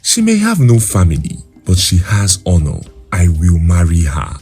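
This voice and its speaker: A man's voice: low-pitched, wildly swooping pitch, normal speed, dark tone, slightly rough, soft background music, heavily acted. A man voicing a wise, kind and resolute farmer in a folktale, speaking earnestly.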